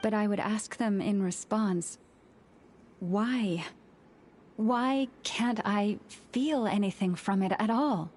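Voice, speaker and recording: Slow, solemn moon priestess. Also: female voice, medium pitch, darkish tone. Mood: solemn, reflective. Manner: measured, poetic, formal. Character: serene antlered moon priestess, solemn and gentle young woman. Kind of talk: scripted video game dialogue.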